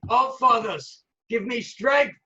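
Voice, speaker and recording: A man's voice: very high voice, slow pace, pushed and bright, highs cut off, heavily acted. A man playing a bold, determined thunder god in an amateur script read. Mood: defiant.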